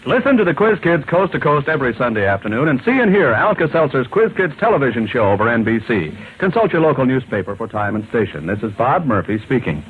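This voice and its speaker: A man's voice: medium pitch, big pitch swings, fast, few pauses, husky. An American man announcing a scripted promo in old-time radio style. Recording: narrow-band vintage radio recording.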